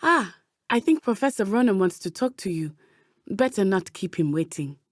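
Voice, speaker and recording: Woman playing brave teen witch girl from a video game, lively pitch, careful formal wording, dry close sound. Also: no room sound, natural voice. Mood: warm, earnest. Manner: precise, measured, formal diction. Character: earnest, brave teenage schoolgirl witch from Uganda. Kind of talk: video game character dialogue.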